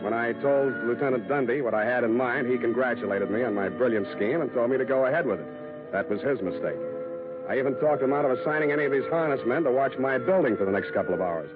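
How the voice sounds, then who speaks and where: An actor playing a wry, cynical private detective with a clipped, deadpan delivery in a 1940s radio drama. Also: male voice, mid-range voice, fast, lightly acted. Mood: grim, deadpan. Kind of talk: 1940s radio acting, first-person noir narration. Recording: tinny vintage radio with music behind.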